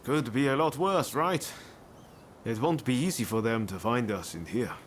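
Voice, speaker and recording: An actor playing an easygoing, friendly samurai rebel in a video game, talking in a casual, conversational way. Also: male voice, medium pitch, moderate pace, a little hiss or hum, natural voice. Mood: relaxed. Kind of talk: video game cutscene acting.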